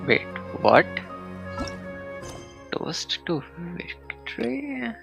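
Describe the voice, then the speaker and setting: A man livestreaming a game with casual commentary, talking in halting, muttered fragments. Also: extremely high pitch, huge pitch swings, creaky, fairly bright tone, faint music behind. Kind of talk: casual live gaming commentary.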